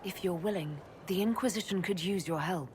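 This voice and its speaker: An actress playing a composed, compassionate elf mage leader in a video game, speaking in a measured, firm way. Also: female voice, on the low side, a little mellow, a little hiss or hum, natural voice. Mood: calm. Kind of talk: video game character acting.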